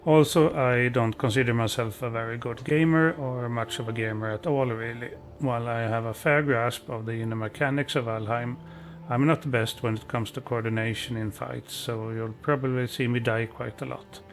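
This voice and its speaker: A calm, modest man with a Swedish accent, from Sweden, giving self-deprecating gameplay commentary as a let's play host. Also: medium pitch, normal speed, few pauses, a little hiss or hum.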